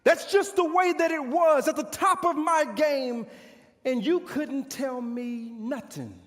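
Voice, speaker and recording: Man acting a proud, high-pitched warrior, echoing hall. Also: a bit bright, full character voice. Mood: boastful. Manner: pompous, declamatory, dramatic pauses. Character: proud, boastful male army commander of ancient Israel's era, bitter. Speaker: pastor performing a monologue. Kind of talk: theatrical stage monologue to an audience. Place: large church hall stage. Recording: echoey hall with long reverb.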